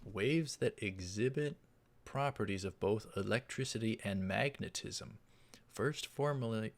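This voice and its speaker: A man reading visual novel text aloud in a measured way, dropping in casual asides as a let's player. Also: fairly low pitch, gravelly. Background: game music.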